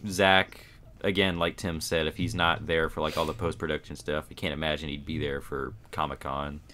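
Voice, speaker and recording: A man's voice: rumbling low, level tone, moderate pace, sharp tone, a little hiss or hum. A man co-hosting a casual panel chat, talking in an informal, tentative manner. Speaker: podcast co-host.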